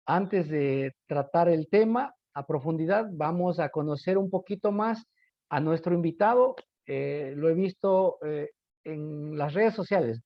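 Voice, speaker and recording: Man, Ecuadorian accent, radio host, high-pitched voice, formal and courteous, dry slightly dull lo-fi sound. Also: dry recording. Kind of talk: scripted radio presenting and interviewing.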